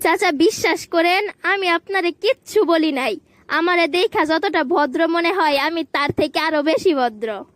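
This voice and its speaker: A stubborn little cartoon child with an extremely high voice. The kid is cheeky and argues back in everyday speech, recorded dry. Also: female voice, measured pace, no room sound. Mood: defensive. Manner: protesting, argumentative, colloquial. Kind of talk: cartoon voice acting.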